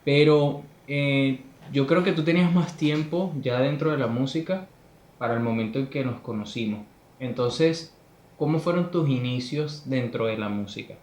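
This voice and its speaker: A man hosting an interview, asking questions in a slow, deliberate way. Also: mid-range voice, a little mellow. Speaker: interviewer host. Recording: roomy echo with faint music.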